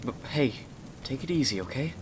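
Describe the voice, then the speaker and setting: A man's voice: medium pitch, animated, brisk, close to an everyday voice. A man playing a gallant, protective husband in an ASMR roleplay, speaking in a soothing, courteous, old-fashioned way. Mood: gentle, courteous. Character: Jonathan, gallant protective husband, gentle rescuer. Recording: clearly noisy, rain behind the voice. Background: rain.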